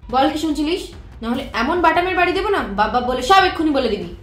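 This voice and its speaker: An actress playing a brash, hot-tempered junior police officer in a crime drama, blunt and slangy. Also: female voice, high-pitched, expressive, fast. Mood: threatening. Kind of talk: short crime drama acting. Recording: echoey room, loud music behind.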